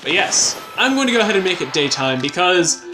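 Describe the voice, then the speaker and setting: A chatty man commentates on his gameplay with joking asides. His pitch moves in a lively way, and loud game music plays under his talk. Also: brisk, low-quality recording. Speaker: let's player.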